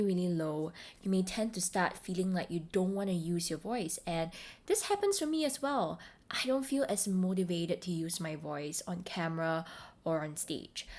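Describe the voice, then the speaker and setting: A woman hosting a self-help podcast, speaking to the listener directly in a deliberate, encouraging way. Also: mid-range voice, a little mellow. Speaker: podcast host and voice coach.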